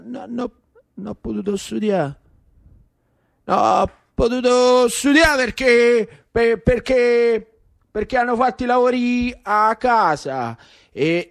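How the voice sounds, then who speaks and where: Whiny Roman schoolboy, played by a man: raised pitch, pleading, halting delivery, stage monologue. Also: fairly bright tone, no echo, studio quality, exaggerated voice. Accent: Roman Italian. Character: whining schoolboy pleading innocence to his teacher. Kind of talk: one-man radio theatre.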